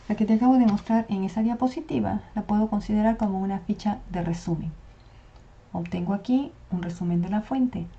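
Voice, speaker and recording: A woman's voice: mid-range voice, mellow. A woman lecturing a university class over slides, explaining step by step and answering her own questions. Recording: dull lo-fi audio.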